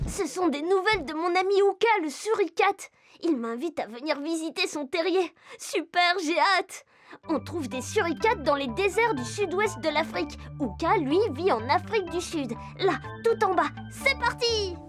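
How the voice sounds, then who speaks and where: A young cartoon girl explorer with a very high, childlike pitch. She is bubbly, curious and full of exclamations, talking to young viewers over prominent background music. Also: expressive, normal speed. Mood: excited, inviting. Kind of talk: children's cartoon dialogue and narration.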